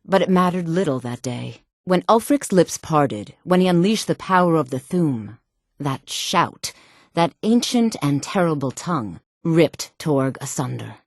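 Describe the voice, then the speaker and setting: An actress playing a sultry, self-assured woman in a fantasy video game, speaking in a poised, measured and haughty manner. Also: low-pitched, expressive, dry recording, studio quality, full character voice. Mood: grave, regretful. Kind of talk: video game character dialogue.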